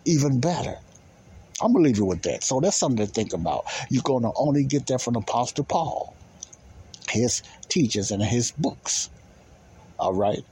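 A man's voice: mid-range voice, expressive, moderate pace, warm tone, slight background noise, low-quality recording. A man teaching the Bible in an informal sermon, speaking emphatically, with repetition and rhetorical questions.

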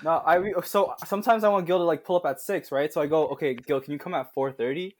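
A man's voice: on the high side, fast talker. A young man in casual chat with friends, telling playful stories and laughing between lines. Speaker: podcast guest.

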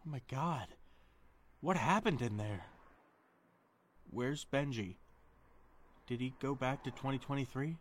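A man acting as a loyal, shaken friend in a science fiction audio roleplay, with an earnest, halting delivery. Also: mid-range voice, close to an everyday voice. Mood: shocked, grieving. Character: shaken, loyal male friend in sci-fi adventure. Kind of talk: immersive first-person audio roleplay acting.

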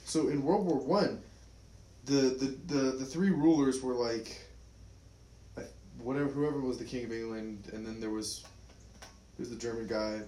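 A man's voice: mid-range voice, normal speed, pauses often, dark tone. A young man in casual podcast chat who rambles and restarts while he tries to recall facts. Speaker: podcast co-host. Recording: roomy echo.

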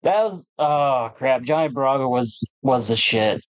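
A man's voice: mid-range voice, animated, measured pace, no echo. A man in his late twenties, co-hosting a casual podcast chat, laughing often while he jokes and reminisces. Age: late 20s. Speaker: podcast co-host.

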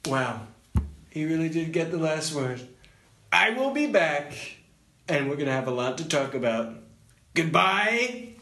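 A man's voice: fairly high pitch, big pitch swings, a little slow, long pauses, pushed and bright, full character voice. A man playing a boastful older president in improvised comedy banter, pompous, rambling and repeating himself, in an echoey room. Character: boastful older male president, parody of a real politician.

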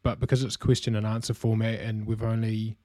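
A young adult man with a New Zealand accent, co-hosting a casual podcast chat in a relaxed way, filling pauses with "um" and laughing easily. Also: on the low side, dry recording. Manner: relaxed, many "um" fillers, easy laughter. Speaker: podcast co-host.

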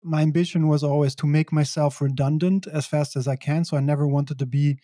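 Man from Belgium, interview guest, fairly high voice, mellow tone, measured pace. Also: fairly even intonation, dry recording, clean studio sound. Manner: reflective, measured, laughs now and then. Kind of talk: conversational interview.

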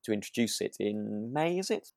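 Man, low pitch, gravelly fry, tentative podcast chat. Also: expressive, moderate pace, darkish tone, dry recording, clean studio sound. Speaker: podcast co-host. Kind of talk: relaxed chat discussing a radio drama.

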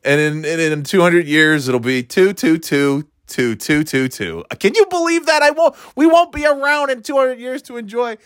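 A middle-aged man with a slightly stuffy nose, hosting a rambling solo chat, meandering and breaking off mid-thought, then getting playfully excited. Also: sits high, moderate pace, hardly any pauses, dry recording, clean studio sound. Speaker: podcast host.

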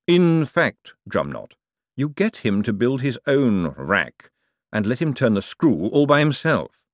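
A man reading aloud and voicing a cold, calculating ruler who speaks slowly with icy politeness. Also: medium pitch, sing-song, no echo, clean studio sound, voice pushed up. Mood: coolly polite. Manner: slow, measured, icily polite. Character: cold, silkily menacing male ruler, patient tyrant.

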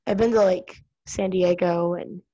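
A woman's voice: on the low side, fairly even intonation, a little slow, mellow, lo-fi sound. An American woman interviewing a friend in casual chat, talkative and full of fillers, laughing often.

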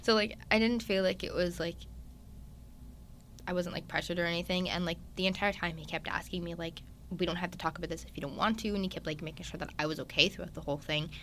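A young man, a guest in a casual chat, talking openly and at length with lots of fillers and animated retellings. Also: high-pitched, quick pace, slight background noise. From Newfoundland, Canada. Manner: chatty and open, many "like" fillers, animated retellings.

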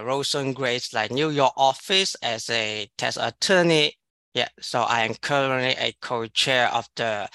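A man's voice: mid-range voice, sharp tone, clean tone, dry recording. A man co-chairing a committee, moderating an online interview in a hesitant way, full of "like" and "kind of". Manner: hesitant, many "like" and "kind of" fillers.

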